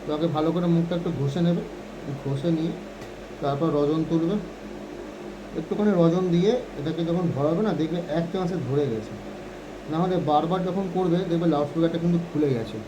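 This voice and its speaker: A man's voice: a little high, a little fast, highs cut off, background noise. A man giving an informal hands-on phone repair tutorial, often checking in with short tag questions.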